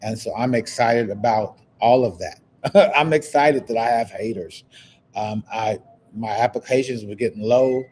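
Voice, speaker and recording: A man's voice: fairly low pitch, normal speed, darkish tone, slight background noise. A man with a lisp and a slight stutter, hosting a live online talk show in a casual, motivational way, repeating phrases and reacting to viewer comments. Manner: repetitive, exclamatory, reading viewer comments aloud.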